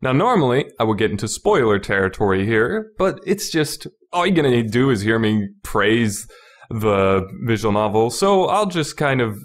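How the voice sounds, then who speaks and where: A man's voice: mid-range voice, big pitch swings, normal speed. A man giving a casual, unscripted podcast review, rambling informally with lots of "you know" and repeating points for emphasis.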